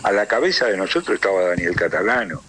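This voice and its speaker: An Argentine man speaking as a political interview guest, emphatic and rhetorical, building points through deliberate repetition. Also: deep voice, big pitch swings, fairly bright tone, slight background noise. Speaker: interview guest, trade union leader.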